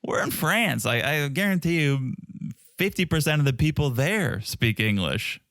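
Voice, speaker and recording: A man's voice: on the high side, lively intonation, normal speed, a bit bright, dry recording, clean studio sound. A man with a North American accent co-hosting a podcast, chatting casually with a playful, teasing manner. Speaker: podcast co-host. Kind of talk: casual banter and TV recap chat.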